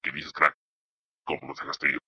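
A man's voice: flat delivery, normal speed, pushed and bright, breathy edge. A young man hosting his channel, joking and rambling while demoing a voice changer. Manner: joking, rambling, silly insults. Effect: deep voice changer. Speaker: channel host. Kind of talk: playful voice-changer demo.

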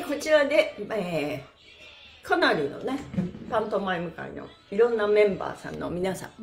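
A middle-aged woman hosting a live exercise stream in animated, cutesy and polite instructor patter. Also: medium pitch, vocal fry. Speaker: pantomime performer and stretch class host. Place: small indoor room with bare walls. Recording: roomy echo from camera mic.

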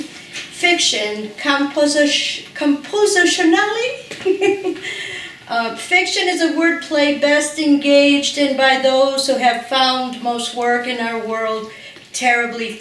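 A woman in her sixties reading a tribute aloud at a gathering in a living room, slow and deliberate with small self-corrections. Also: high voice, fairly bright tone, a little hiss or hum. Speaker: reader at a literary gathering. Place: living room of a large house, indoors. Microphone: far camera. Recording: echoey room from far off.

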